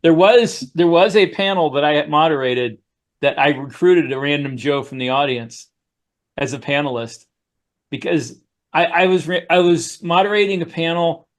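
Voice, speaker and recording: A man with a slightly high voice chats casually as a podcast co-host. He tells his stories in a halting, rambling way, circling back as he goes. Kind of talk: casual anecdotal chat.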